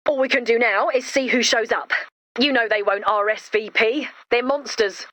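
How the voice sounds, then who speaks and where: Casting: female performer for high-pitched, wry, gently bossy young game heroine, romantic and earnest. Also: moderate pace, dry recording, lightly acted. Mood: gently scolding. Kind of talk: video game voice lines.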